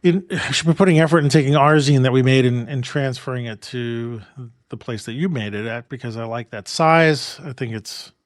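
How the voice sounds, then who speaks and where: A man from New York co-hosting a photography podcast, chatting in a relaxed, rambling way with many restarts and laughs. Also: medium pitch, normal speed, dry recording, clean studio sound. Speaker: podcast co-host. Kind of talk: casual chat.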